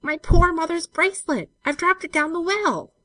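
A woman reading a story aloud, voicing an affectionate, nervy young woman in love with a light, expressive delivery. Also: medium pitch, no room sound, voice pushed up, close to an everyday voice, low-quality recording. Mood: distressed. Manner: light, expressive, quick to startle.

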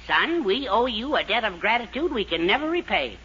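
An American actor playing a brash, bossy husband in a 1940s radio sitcom, talking in gruff, slangy bluster. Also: male voice, high voice, sing-song, a little fast, sharp tone, heavily acted. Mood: triumphant. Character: brash, bossy henpecked husband newly lording it over women. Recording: muffled, hissy 1940s radio recording.

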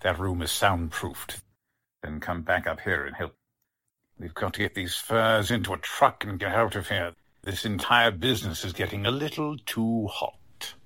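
A man acting a fussy, smug company man with a crooked side in a 1940s-style radio drama, his voice husky. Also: deep voice, normal speed, pushed and bright. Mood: smug, menacing. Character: fussy company man who is secretly a smug crime boss.

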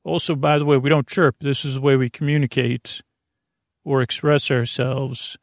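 A man hosting a bedtime podcast in a creaky voice, rambling gently and soothingly, often stammering and wandering off into tangents. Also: medium pitch, steady tone, normal speed, no echo, studio quality. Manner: meandering, hesitant, stammering with tangents. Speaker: host of a bedtime sleep podcast.

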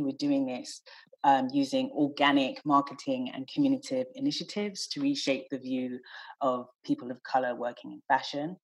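Low husky woman, Portuguese native speaker. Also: rough recording. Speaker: guest, platform founder. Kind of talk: casual podcast chat.

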